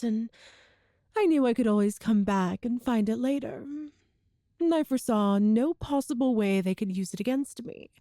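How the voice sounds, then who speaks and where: Woman doing an evil immortal sorceress queen, kinda high but mellow, talks real slow and smug, like an audio roleplay villain. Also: no room sound, studio quality. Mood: smug, confiding. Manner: deliberate, condescending, grandiose. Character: cruel, ancient immortal sorceress empress, smugly superior. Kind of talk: scripted dramatic monologue, ASMR roleplay.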